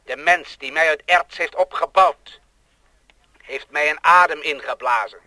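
An actor playing a cold, boastful thinking machine that scorns humans, in solemn declamatory radio play style. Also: male voice, on the high side, a little slow, sharp tone, no echo. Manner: solemn, pompous, rhetorical.

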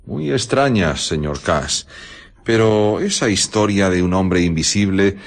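An actor playing a mild, anxious village gentleman in a radio drama, hesitant and prone to stammer. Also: deep voice, measured pace. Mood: mild doubt. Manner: hesitant, stammering under pressure.

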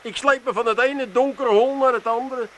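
A man's voice: very high voice, fairly even intonation, normal speed, pushed and bright. An actor playing a gloomy, anxious man who laments the state of the world in a comic radio play. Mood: gloomy, plaintive. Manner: plaintive, indignant lamenting. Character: gloomy, anxious, fretful doom-mongering brother-in-law.

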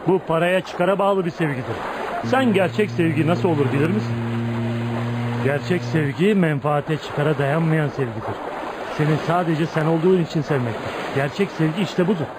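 A noisy radio drama recording of a man playing a young dockworker with a slightly high voice. He is blunt and streetwise, swaggering and lecturing like a tough guy, with a kind streak underneath. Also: normal speed, close to an everyday voice. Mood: gruff, protesting. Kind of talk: Turkish radio theatre acting.

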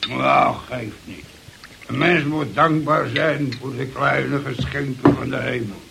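A man's voice: medium pitch, takes it slowly, creaky, fairly bright tone, heavily acted. An actor playing a big, jovial, drunken sailor in a radio play, rambling, slurring and stammering as he tells his tall tales. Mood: mellow, tipsy. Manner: rambling, stammering, bursting into song. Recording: hissy old radio play recording.

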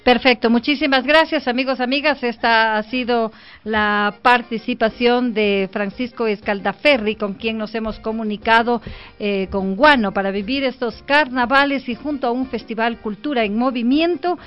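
A woman radio host with a smooth, resonant voice pitched a little high, heard through a slightly dull broadcast sound. She puts her questions briskly and closes the segment in polished announcer fashion. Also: faint music behind. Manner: brisk, announcer-like, closing formulas.

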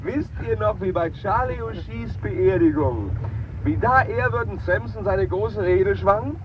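An actor playing a mocking, boisterous funeral-goer with a jovial, mock-pompous manner in a 1970s German radio drama. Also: male voice, sits high, unhurried, lo-fi sound, a little hiss or hum. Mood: amused, gleeful.

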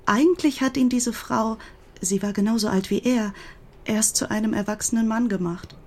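A woman playing a grieving, protective older sister who gives an earnest, confiding statement in a German radio crime drama, with a husky voice. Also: on the high side, moderate pace, a little mellow. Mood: earnest, recounting. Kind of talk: German radio play acting, recounting testimony.

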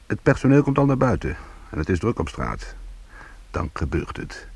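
A man's voice: on the low side, big pitch swings, normal speed, husky, exaggerated voice. A man playing a bitter, sardonic small-time ex-convict in a Dutch radio play, protective and combative. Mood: tense narrating. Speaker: lead actor and first-person narrator.

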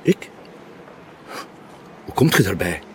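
Brave jonge Vlaamse agent, lage stem. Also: male voice, deep voice, sing-song, slow, darkish tone, slight background noise, exaggerated voice. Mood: worried. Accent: Flemish. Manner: polite, hesitant. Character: earnest, literal-minded, hesitant junior policeman. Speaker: actor. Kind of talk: naturalistic TV crime drama dialogue.

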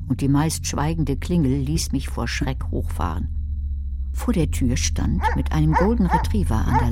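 A wry, scheming woman in a radio crime play, with a low voice and a dry, sardonic manner. She speaks in measured phrases, with music clearly behind her. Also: heavily acted. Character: wry, scheming female carer turned inheritance schemer, narrating. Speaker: narrator and lead actress in a radio crime drama. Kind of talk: radio play narration and dialogue.

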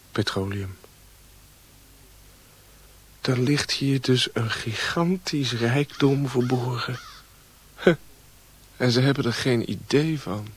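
An actor playing a wry, wary adventurer in a radio drama, with a husky voice. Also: male voice, medium pitch, bright, forceful tone, exaggerated voice.

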